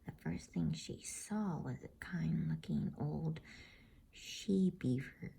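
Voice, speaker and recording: A woman storyteller reading a bedtime story in ASMR style with a soft, hushed whisper. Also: low voice, big pitch swings, takes it slowly, gravelly, breathy edge. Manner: soft whispering, soothing hush.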